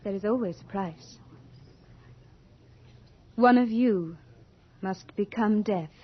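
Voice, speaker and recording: An actress playing Death as a beautiful, imperious lady in a theatrical radio drama, speaking in a grand, coquettish, deliberate way. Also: mid-range voice, breathy edge. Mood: grave, commanding. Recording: muffled vintage radio drama recording.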